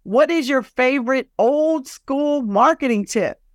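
A woman's voice: high voice, a little slow, no room sound, clean studio sound. A woman hosting a podcast, moderating a panel in a conversational way. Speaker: podcast host.

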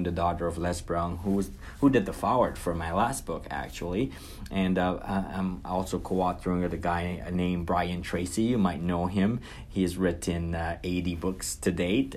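A man's voice: low voice, slight background noise. Man telling his story. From Guyana. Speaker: interview guest. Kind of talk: casual storytelling in an interview.

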